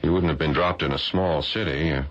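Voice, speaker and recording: Good-humoured hubby in an old radio mystery, really low voice, coaxing and teasing, lofi muffled sound. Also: male voice, fairly even intonation, brisk. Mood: curious, coaxing. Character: curious, good-humoured husband, mildly exasperated. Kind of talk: old-style radio mystery drama acting. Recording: dull, lo-fi radio drama recording.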